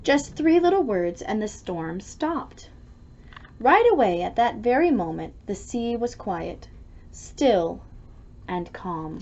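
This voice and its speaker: Female storybook narrator for kids: animated, unhurried delivery with dramatic emphasis. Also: medium pitch, muffled recording, a little hiss or hum. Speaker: children's story reader. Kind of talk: expressive children's storybook read-aloud.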